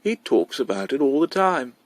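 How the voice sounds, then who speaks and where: A man's voice: medium pitch, big pitch swings, normal speed, dry recording, pitched above the performer's own voice. A man voicing a concerned, curious mother in a storybook reading, with an eager, questioning manner. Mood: eager, polite.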